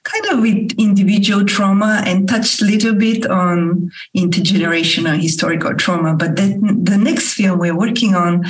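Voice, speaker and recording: A woman co-hosting a podcast, asking long, searching questions with many pauses and fillers. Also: lowish voice, rough recording. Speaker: podcast co-host and filmmaker. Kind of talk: interview questions, conversational.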